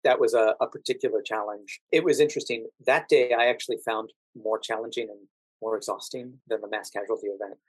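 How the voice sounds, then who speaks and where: An American man speaking as an interview guest, telling anecdotes conversationally with drawn-out emphasis on key words. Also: lowish voice, steady tone, slightly rough, no echo, poor audio. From United States. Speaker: podcast guest, actor working as a standardized patient.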